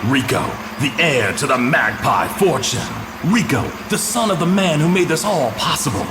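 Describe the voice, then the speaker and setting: Dramatic sports commentator, big swinging pitch. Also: male voice, very animated, normal speed, background noise. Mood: dramatic build-up. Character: excitable dramatic male sports commentator narrating brawls.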